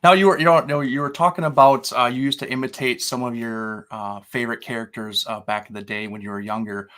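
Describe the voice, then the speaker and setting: A man hosting an interview, asking questions in a halting way with many fillers. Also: medium pitch, steady tone, a bit bright. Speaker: interview host.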